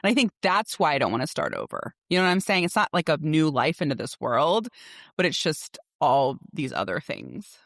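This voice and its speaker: A woman's voice: low voice, big pitch swings, normal speed, vocal fry, fairly bright tone, dry recording, clean studio sound. A woman in her forties co-hosting a podcast in a casual, candid and emphatic chat. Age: 40s. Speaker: podcast co-host.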